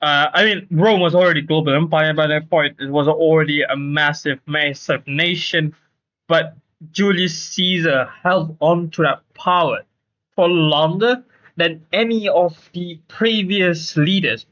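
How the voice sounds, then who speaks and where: A man doing casual live game commentary, halting and repeating himself for emphasis. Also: fairly high pitch, steady tone, fairly bright tone. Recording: dull, lo-fi stream audio.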